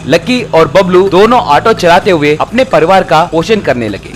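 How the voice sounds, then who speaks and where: A man's voice: sits high, expressive, normal speed, faint music behind. A man narrating a scripted, children's-style story.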